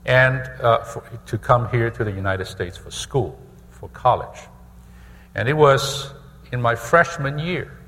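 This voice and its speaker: Middle-aged male pastor, deliberate sermon delivery with repeated phrases, long hall reverb. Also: medium pitch, fairly bright tone. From Hong Kong. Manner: measured, repeats phrases for emphasis. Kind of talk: sermon testimony.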